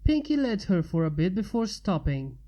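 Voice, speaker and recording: A woman's voice: mid-range voice, sing-song, normal speed, warm tone. A woman narrating fan fiction as a story reader.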